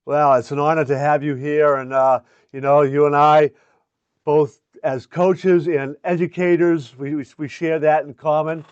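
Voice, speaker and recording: An older man speaking as a show host and coach, with an earnest, deliberate way of talking. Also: fairly high pitch, fairly even intonation, smooth, no room sound, muffled recording. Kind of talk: scripted promo and introduction, then casual interviewing.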